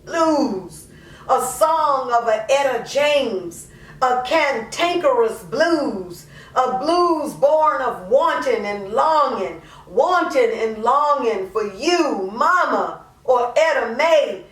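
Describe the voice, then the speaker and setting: A woman performing a dramatic monologue as an anguished, guilt-ridden mother pleading to God, impassioned and drawn-out. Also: high-pitched, lively intonation. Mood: mournful, bluesy. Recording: roomy echo, distant microphone.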